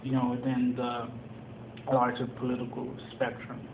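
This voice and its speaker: A young man from Tanzania, a college student, answering interview questions in a measured, explanatory way with many pauses and ums. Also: medium pitch, monotone. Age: young adult. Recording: echoey room over a tinny phone-like line with background noise.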